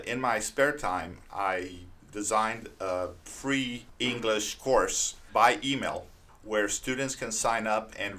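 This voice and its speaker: A man's voice: lowish voice, pushed and bright. A middle-aged man from Romania with a Romanian accent, a teacher giving earnest, plain-spoken interview answers. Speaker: literacy teacher, guest.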